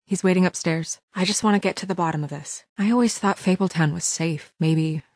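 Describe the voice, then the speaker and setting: A guarded, determined young fairy-tale woman in a naturalistic video game drama. Her voice sits fairly low, with an earnest, businesslike firmness. The sound is close and dry, with no room on it. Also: darkish tone, dry recording. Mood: troubled, resolute. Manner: earnest, measured, firm, businesslike.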